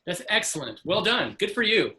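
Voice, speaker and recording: A man in his sixties speaks over a video call with a bright, forceful voice. He sits a little higher than most men and sounds earnest and encouraging. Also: very animated, normal speed. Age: 60s. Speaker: author guest. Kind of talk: casual video call chat.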